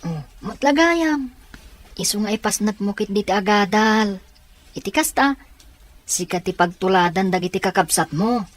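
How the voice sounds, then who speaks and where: A woman's voice: mid-range voice, lively intonation, moderate pace, natural voice. A woman playing a kind, supportive friend in an audio drama, giving advice in a reassuring way. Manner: reassuring, advising. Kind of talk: Ilocano radio-style audio drama.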